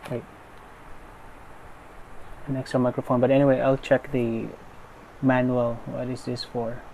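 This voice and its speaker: Male unboxing narrator, mid-pitched, unhurried, casual and tentative; noisy recording. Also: flat delivery, a little mellow. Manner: tentative, thinking aloud. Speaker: unboxing video maker. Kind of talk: casual unscripted product unboxing commentary. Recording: clearly noisy background.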